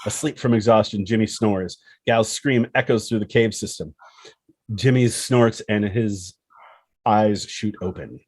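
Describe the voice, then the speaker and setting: A male narrator reads screenplay action and scene headings. His voice is fairly low and raspy, recorded dry with no room sound. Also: a little slow, darkish tone, dry recording. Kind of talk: scripted screenplay narration, reading stage directions.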